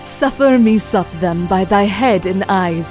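A woman plays a lady of an old tale, tipsy, teasing and romantic. The mid-pitched voice declaims slowly and dramatically over faint music. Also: darkish tone, close to an everyday voice. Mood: tender. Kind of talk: literary audio drama.

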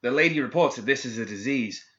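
A man's voice: medium pitch, animated, fast, fairly bright tone, dull audio, pitched above the performer's own voice, close to an everyday voice. A man voicing a nervous young male guard who stammers and hesitates as he reports.

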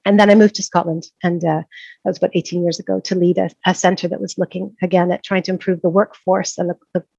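A woman's voice: on the low side, flat delivery, quick pace, creaky, warm tone, breathy edge, no room sound, highs cut off. A middle-aged woman from Canada, a professor of practice being interviewed, talking thoughtfully with many restarts and fillers. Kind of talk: conversational interview.

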